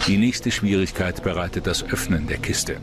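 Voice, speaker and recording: A man narrating a scripted true-crime documentary in a measured, dramatic manner. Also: sits low, over music.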